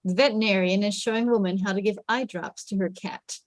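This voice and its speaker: A woman narrates stage directions at a script table read. Her voice sits at a middle pitch, and the sound is dry with no room echo. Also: steady tone, fast.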